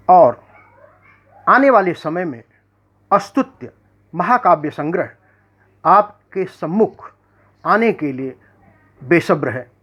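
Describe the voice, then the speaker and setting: Slow, measured man reciting verse. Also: on the high side, a bit bright, muffled recording. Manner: measured, declamatory, repeats verse lines. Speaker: poet and magazine editor. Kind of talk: formal self-introduction and poetry recitation.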